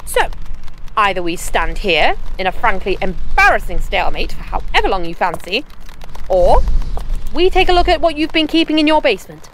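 A woman's voice: medium pitch, sing-song, moderate pace, fairly bright tone, a little hiss or hum, low-quality recording. A woman playing a bold, cheeky journalist in an audio drama, talking in a playful, teasing and confident way. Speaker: Eleanor Agnew.

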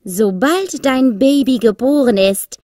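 A scheming, menacing witch from a children's cartoon fairy tale. She draws her words out very slowly and commandingly in a high, theatrical voice, recorded dry and close. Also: mellow, dry recording. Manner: theatrical, drawn-out, commanding delivery.

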